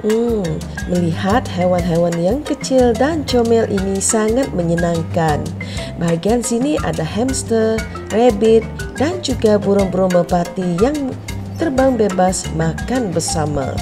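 A woman with a Malaysian accent narrating a vlog for children in a deliberate, simply explanatory manner. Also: mid-range voice, animated, over music. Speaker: vlogger and narrator. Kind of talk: voice-over narration of a wildlife park visit for children.

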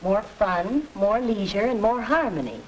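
Actress as middle-aged American mother, earnest, measured, medium pitch, 1940s educational film, hissy soundtrack. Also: animated, close to an everyday voice. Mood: earnest, organizing. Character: earnest, tired housewife and mother, middle-aged, patient organizer.

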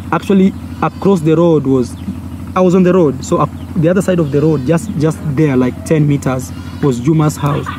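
Young Kenyan vlogger, Swahili native, animated storyteller with a fairly high voice, filmed outdoors with clear background noise. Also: male voice. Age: young adult. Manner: animated, acts out voices and gestures. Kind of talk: storytelling to camera. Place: outdoors by a brick wall. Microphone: phone or camera held close. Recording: outdoor noise, village sounds.

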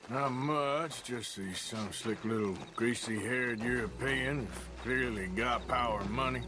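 An actor playing a gruff, wary American outlaw gunslinger in a video game, drawling and matter-of-fact. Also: male voice, mid-range voice, a little slow, lightly acted. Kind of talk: video game dialogue acting. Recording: music behind the dialogue.

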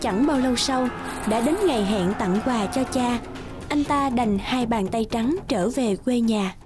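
A woman narrator reads a scripted fairy tale in storybook style. Her voice sits fairly high, and music plays clearly underneath. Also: unhurried. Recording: music bed behind the voice.

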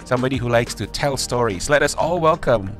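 A man's voice: mid-range voice, lively intonation, a little fast, fairly bright tone. A man hosting a morning radio show in a chatty, rambling way. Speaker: radio host. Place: small radio studio. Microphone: close studio microphone. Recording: music behind the voice.

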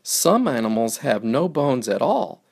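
A man narrating a picture book for young children, reading it aloud in simple, deliberate phrases. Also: mid-range voice, sing-song, vocal fry, slightly rough.

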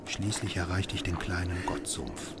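An actor playing a haunted, exhausted father who narrates his own horror tale in a radio play, brooding and measured. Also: sits low, heavy background noise. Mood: weary, dreamlike. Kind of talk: scripted first-person radio play narration.

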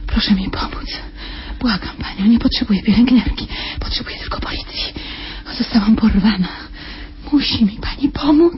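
An actress playing a frightened, groggy captive woman, pleading in a breathy, halting way in a radio play. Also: a little high, in a whisper, natural voice. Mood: terrified, pleading. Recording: dull lo-fi radio play, faint noise.

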